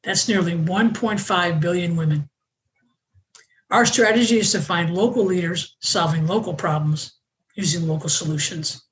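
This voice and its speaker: A woman's voice: on the low side, poor audio. Woman host reading a scripted welcome. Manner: measured, reading from a prepared script.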